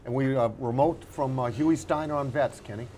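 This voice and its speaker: A man's voice: medium pitch, moderate pace, warm tone, a little hiss or hum. A man talking sports casually as a regular panelist on a show recorded live at a restaurant.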